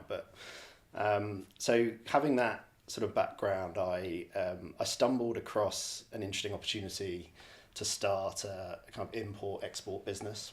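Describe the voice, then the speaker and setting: A man from the borders of Scotland giving a reflective, casual interview answer as a podcast guest, hesitant and often rephrasing himself. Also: on the low side. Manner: hesitant, repeats and rephrases phrases. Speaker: podcast guest, real estate director.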